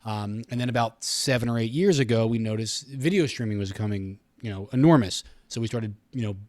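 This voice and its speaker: An American man in his thirties talks as an interview guest, explaining at length in casual, conversational speech. His voice sits at a middling pitch, and the sound is dry, with very little room in it. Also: brisk, a little mellow, no room sound. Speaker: radio station communications director, interview guest.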